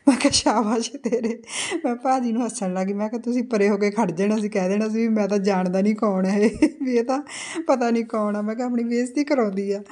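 A woman's voice: on the high side, steady tone, quick pace, warm tone. A woman in her forties with a Punjabi accent, chatting casually to a phone camera at home. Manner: chatty, with frequent tag questions. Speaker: vlogger. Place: home, indoors. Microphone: phone held at arm's length.

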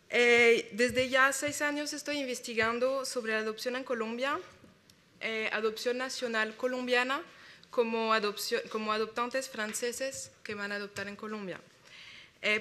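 A woman's voice: fairly high pitch, bright, forceful tone. A young woman with a French accent, French her first language, presenting her doctoral research in careful, hesitant Spanish at an academic conference. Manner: careful, measured delivery with hesitations. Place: lecture hall with a large audience.

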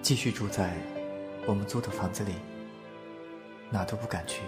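A man playing a gentle, reserved young man in an audio drama, speaking candidly and softly in measured, short phrases. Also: lowish voice, fairly even intonation, close to an everyday voice. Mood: wistful. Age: young adult. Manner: soft-spoken, measured, pausing between short phrases. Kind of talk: Chinese audio drama acting, confessional. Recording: music and crowd chatter behind voice. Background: music and crowd.

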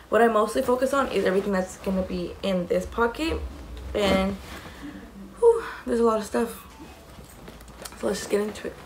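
A young woman with a raspy voice, chatting casually as she shows the contents of a bag to the camera in a small room, rambling with many fillers. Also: mid-range voice, slight background noise, low-quality recording. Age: young adult. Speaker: mom vlogger. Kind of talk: casual show-and-tell vlog. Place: small indoor room against a plain wall.

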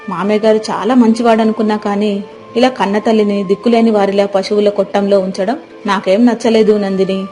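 Woman as kind newlywed daughter-in-law, concerned, mellow mid-range voice for story dialogue. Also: medium pitch, lightly acted. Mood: saddened, resolute. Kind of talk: scripted moral-story dialogue.

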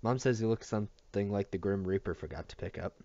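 A man's voice: fairly low pitch, fairly quick, mellow, dry recording, highs cut off, lightly acted. A man voicing a smug teenage boy who talks in a scornful, casually chatty way.

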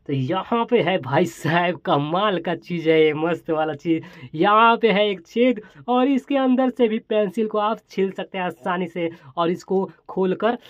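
A man's voice: high voice, no room sound, highs cut off. A man hosting a casual hands-on product showcase, chatty and exclamatory, often repeating his phrases as he demonstrates. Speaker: toy and gadget review host.

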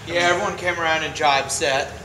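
A man's voice: fairly high pitch, fairly even intonation, fairly quick. A middle-aged man casually recounting a race, step by step. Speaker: sailing competitor. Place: large covered open-sided patio. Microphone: camera a few feet away. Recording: echoey pavilion, clear background noise.

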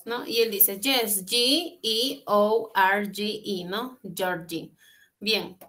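A woman's voice: mid-range voice, extremely slow, bright, forceful tone. A young woman teaching English in an online class, explaining exercises patiently and switching between Spanish and English, heard through a laptop on a video call from a small room at home. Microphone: laptop webcam on a video call.

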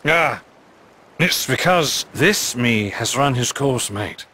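An actor playing a roguish, swaggering pirate captain in a video game, with a British accent and flowery, slurred speech. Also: male voice, mid-range voice, expressive, slow, bright, forceful tone, a little hiss or hum. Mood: grandiose, wistful. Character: roguish, theatrical pirate captain, a sly man. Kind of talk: dramatic video game cutscene acting.

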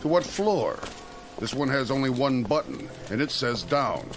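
An actor playing an indignant, commanding army colonel in a radio drama. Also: male voice, mid-range voice, animated, a little slow, gravelly, music in the background, poor audio. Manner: brusque and commanding.

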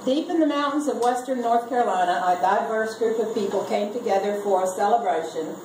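A woman reads a humorous script aloud in an amused way, pausing deliberately between phrases. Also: a little high. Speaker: family reunion speaker reading a comic Mad Lib aloud. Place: garage full of seated relatives. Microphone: camera a few meters away. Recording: distant camera mic, echoey garage, loud noise.

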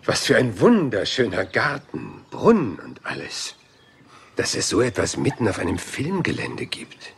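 A man's voice: on the low side, huge pitch swings, a little slow, vocal fry, slight background noise, heavily acted. An actor playing a rumpled, polite police inspector in a crime radio play, apologetic and roundabout in his questions. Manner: apologetic, roundabout, mild and persistent.

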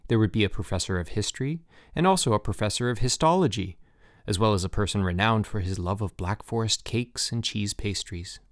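Male narrator for literary fiction: lowish voice, measured reading, dry studio sound. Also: no room sound. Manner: measured, deliberate phrasing with pauses. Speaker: audiobook narrator. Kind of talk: scripted literary short story narration.

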